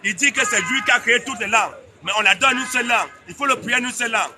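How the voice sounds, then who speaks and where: A man's voice: extremely high pitch, racing, pushed and bright. A man preaching in the open air on a city street, speaking French as a second language, emphatic and repetitive, challenging the passers-by. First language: another language, French spoken as second. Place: busy city street outdoors. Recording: street noise behind.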